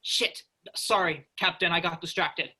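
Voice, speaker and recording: A man's voice: on the high side, steady tone, a little slow, pushed and bright, exaggerated voice. A man playing a restless, sarcastic space freighter worker, informal and exasperated, in a staged reading. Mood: dismayed. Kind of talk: virtual staged reading of a sci-fi play.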